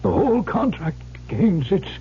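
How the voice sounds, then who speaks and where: Slow, solemn old uncle. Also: male voice, sits high, animated, gravelly, a little hiss or hum, exaggerated voice. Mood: solemn, explaining. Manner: slow, solemn, emphatic warnings. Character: dying old rich uncle, grave and cautioning. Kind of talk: radio drama acting. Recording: dull, humming old radio recording.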